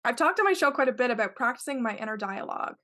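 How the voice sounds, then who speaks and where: Woman guest rambling earnestly w/ lots of "like"s, a bit high voice. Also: lively intonation, rapid-fire, sharp tone. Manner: rambling, filler-heavy, earnest. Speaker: podcast guest. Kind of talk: casual conversational interview.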